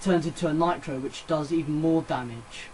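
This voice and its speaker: A man's voice: a little high, moderate pace, very noisy. A man hosting a let's play, giving casual, rambling gameplay commentary that explains things as he goes. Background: video game music.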